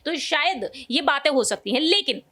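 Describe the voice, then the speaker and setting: A woman with a high-pitched voice speaks as a news co-presenter. She gives running analysis in long, wordy sentences with repeated stock phrases. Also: very animated, normal speed, pushed and bright. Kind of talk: news discussion commentary.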